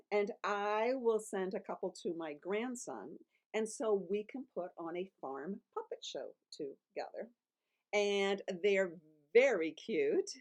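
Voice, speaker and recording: An older woman hosting a casual video, talking in a playful, encouraging way. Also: medium pitch. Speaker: children's author and host. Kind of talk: casual vlog for grandparents.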